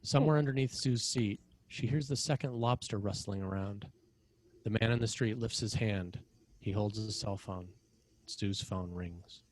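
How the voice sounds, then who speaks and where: Low-voiced man narrating a screenplay. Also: normal speed, darkish tone, a little hiss or hum. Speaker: narrator of a screenplay table read. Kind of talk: scripted narration, reading scene directions.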